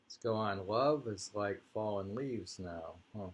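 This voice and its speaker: A man casually reading poetry and talking it over in a halting, musing way. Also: lowish voice. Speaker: reader and host of poetry readings.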